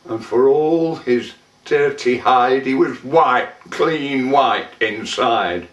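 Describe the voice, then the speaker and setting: A man performing a dramatic poem as a gruff, sentimental British colonial soldier, reciting with theatrical, deliberate delivery. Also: medium pitch. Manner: theatrical, deliberate, with shouted calls and drawn-out phrases. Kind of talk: dramatic poetry recitation, monologue. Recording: echoey room, distant microphone.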